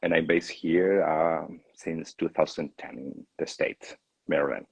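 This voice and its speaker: Deep, husky Chilean man in a dry recording. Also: fairly even intonation, a little slow, no echo, poor audio. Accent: Chilean Spanish. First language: Spanish. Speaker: interview guest, opera singer. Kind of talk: casual interview.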